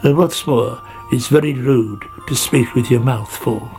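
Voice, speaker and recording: A man's voice: mid-range voice, measured pace, a little mellow, faint music behind, lightly acted. A man voicing a grumbling older snail who scolds in a reproving way, in a children's story. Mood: muttering reproof.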